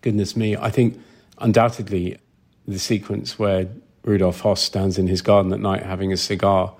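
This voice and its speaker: A man being interviewed as a film sound designer, explaining things conversationally in a thoughtful, halting way with repeated words. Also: deep voice, level tone, a little mellow.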